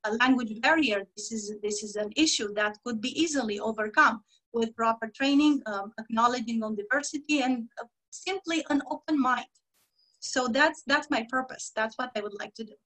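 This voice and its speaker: A woman from Romania, a guest on a podcast explaining her work as a diversity trainer in a measured way, with frequent pauses and fillers. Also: on the high side, fairly bright tone, rough recording. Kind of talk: podcast interview.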